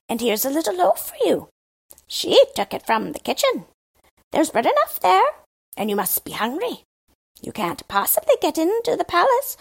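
A woman's voice: high-pitched, vocal fry, pitched above the performer's own voice, exaggerated voice. A woman voicing a chatty, boastful male crow in a storybook reading. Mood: kindly. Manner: lively, chattering and eager to gossip.

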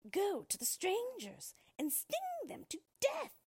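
A woman voicing a cruel wicked witch who gives orders in a shrill, drawn-out voice. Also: huge pitch swings, a little mellow, heavily acted. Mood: commanding.

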